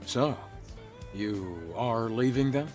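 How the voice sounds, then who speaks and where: A reassuring mission planner from a video game. He speaks in a steady, practical way at an average pitch. Music plays clearly behind him. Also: slow. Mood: steady, informative. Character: calm, reassuring male guide and mission planner.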